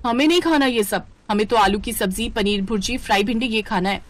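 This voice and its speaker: A woman's voice: fairly high pitch, brisk, close to an everyday voice. A woman voicing a pushy young sister-in-law in a family story, picky and insistent. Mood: picky, demanding.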